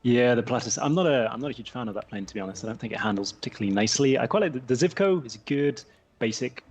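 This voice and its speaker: A man's voice: mid-range voice, husky. A man from Scotland co-hosting a game livestream, explaining tips in casual chat. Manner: quick, helpful explainer. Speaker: game stream co-host.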